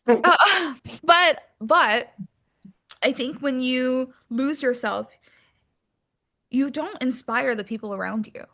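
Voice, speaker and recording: Bright, high-voiced woman chatting candidly. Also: takes it slowly, long pauses. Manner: animated, informal, peppered with "like" and "you know". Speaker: interview guest, actress. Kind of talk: candid casual chat.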